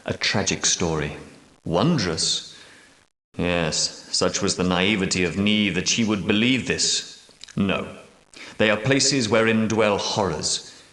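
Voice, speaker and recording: An actor playing a solemn, commanding medieval knight and prophetic leader, speaking in grave, formal, archaic oratory for a video game. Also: male voice, on the low side, a bit bright. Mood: storytelling, knowing. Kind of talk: theatrical video game character dialogue. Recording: roomy echo, slightly distant from mic.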